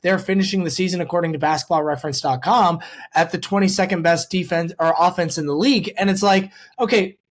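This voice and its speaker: A man's voice: high-pitched, fast talker. A man hosting a casual sports podcast, talking with a fan's enthusiasm.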